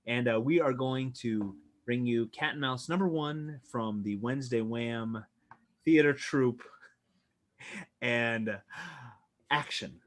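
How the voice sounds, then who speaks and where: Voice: medium pitch, slow pace, long pauses. A host introducing a comedic dramatic reading, announcing the cast with mock grandeur and laughing between lines.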